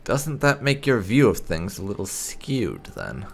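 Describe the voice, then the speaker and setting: A man with a lowish voice and a heavy creak gives casual commentary over a video game. His delivery is lively in pitch and wryly sarcastic, as a gaming host playing along in character. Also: measured pace, slight background noise. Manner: wry, sarcastic. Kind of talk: casual playthrough commentary with light roleplay. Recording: game music behind. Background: game music.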